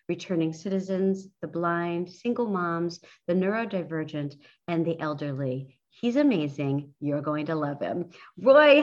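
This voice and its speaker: A woman's voice: medium pitch, highs cut off. Enthusiastic woman podcast host. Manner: upbeat, enthusiastic. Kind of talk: podcast interview.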